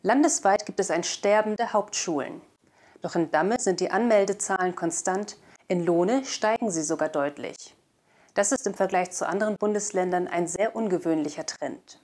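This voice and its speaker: A woman's voice: fairly low pitch, moderate pace, darkish tone. A young woman presenting scripted news in a studio on a lapel mic. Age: young adult. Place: indoor studio.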